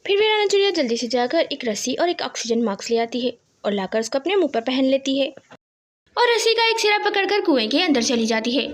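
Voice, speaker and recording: A woman's voice: sits high, big pitch swings, a little fast. A woman reading a scripted story for children as its narrator.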